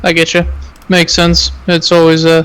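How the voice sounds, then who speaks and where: A man's voice: on the high side, completely flat delivery, normal speed, a bit bright, clear, resonant tone. An easygoing, agreeable man chatting casually as a companion on a gaming stream, over noisy audio. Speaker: co-commentator in gaming chat. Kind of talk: casual chat.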